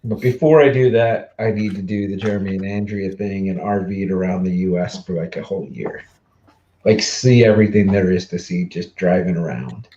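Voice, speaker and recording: A man in his forties from the Mid-Atlantic US, hosting a casual livestream chat, easygoing and quick to laugh, with lots of fillers. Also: deep voice, dark tone, lo-fi sound, slight background noise. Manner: chatty, laughs easily, trails off with fillers. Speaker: livestream host.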